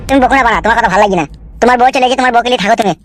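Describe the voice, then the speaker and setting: A man's voice: very high-pitched, racing, a bit bright, faint music behind. A man voicing a whiny, foul-mouthed young village husband quarrelling in a comic cartoon. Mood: aggrieved, defiant.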